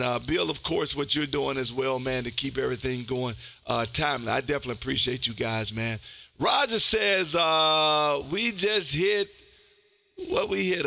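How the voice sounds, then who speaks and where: Bright, forceful man hosting a radio livestream. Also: on the high side, creaky. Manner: effusive, folksy, peppers talk with "man". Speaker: amateur radio livestream host. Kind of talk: casual radio chat with listeners.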